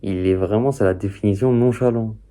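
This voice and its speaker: Young male reaction host, lowish and soft-edged, chatting informally with slang. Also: brisk. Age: young adult. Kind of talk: casual chat, reacting to a video.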